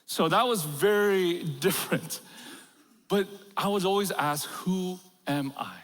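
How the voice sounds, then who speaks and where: A man's voice: high-pitched, a little slow, a bit bright. A middle-aged man, a pastor born in Korea and raised in America, giving a sermon full of humorous stories and chuckles at his own jokes. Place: large church auditorium. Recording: long reverb of a big hall.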